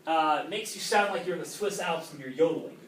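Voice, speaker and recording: A man's voice: on the high side, brisk, a bit bright, a little hiss or hum. A male math teacher lecturing a class, often checking in with "okay?". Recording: roomy classroom audio from a distant camera.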